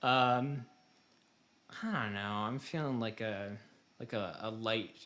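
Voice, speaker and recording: A man's voice: lowish voice, slow pace, fairly bright tone. A man co-hosting a podcast, chatting casually about video games in a rambling, self-deprecating way with casual swearing. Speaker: podcast co-host.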